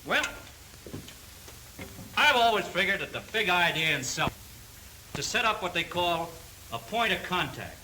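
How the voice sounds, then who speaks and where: A folksy old-time salesman, real bright forceful voice, slangy, sure of himself, hissy 30s film sound. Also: sits high, very animated, unhurried, pauses often, heavily acted, low-quality recording. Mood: confident. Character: folksy, self-confident older refrigerator salesman, kidded by colleagues. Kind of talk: 1930s training film acting.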